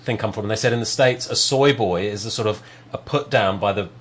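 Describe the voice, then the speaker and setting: A man's voice: lowish voice, brisk, a little hiss or hum. A man hosting an interview, asking long, searching questions and circling back as he talks. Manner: rambling, searching questions with many restarts. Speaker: interviewer host. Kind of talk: casual interview chat.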